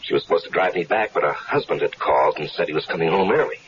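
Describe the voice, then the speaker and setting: A man's voice: deep voice, quick pace, a little hiss or hum, natural voice. An actor playing an easygoing stranger who tells his story in a wry, casual way, in a 1970s radio drama. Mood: storytelling. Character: easygoing stranger caught up in a plot. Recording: dull lo-fi 1970s radio recording.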